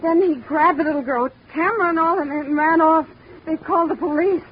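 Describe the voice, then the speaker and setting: A woman's voice: very high voice, brisk. An actress playing a polite, easily flustered female office assistant, prim and earnest, in 1940s radio acting style. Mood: alarmed, fluttery. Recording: noisy, dull vintage radio recording.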